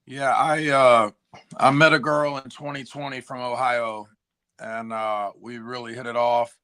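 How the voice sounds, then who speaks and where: A hoarse man, a musician guest chatting casually and laid-back on a video call. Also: mid-range voice, level tone, a little slow, bright, forceful tone. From Tennessee. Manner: laid-back, slangy, says "man" and "dude". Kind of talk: casual chat.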